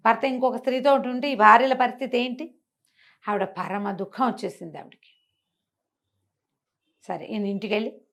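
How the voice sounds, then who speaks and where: A middle-aged woman telling a folk tale with animated, theatrical delivery. Her voice sits somewhat high for a woman, and she switches tone as she voices each character. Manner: expressive, acts out the characters' lines with shifting voice. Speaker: storyteller guest. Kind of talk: animated storytelling in an interview.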